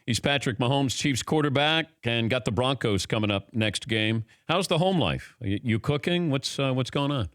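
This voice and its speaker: A man hosting a sports talk show, asking quick, playful questions in a chatty way. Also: mid-range voice, lively intonation, fairly bright tone, dry recording, clean studio sound. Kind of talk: casual radio interview.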